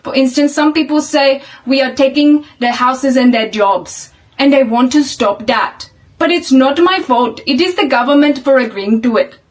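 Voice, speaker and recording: Woman doing a deadpan put-on Indian accent. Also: high-pitched, moderate pace, hardly any pauses, lightly acted. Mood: earnest deadpan. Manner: deadpan earnest satire. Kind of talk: comedy sketch monologue.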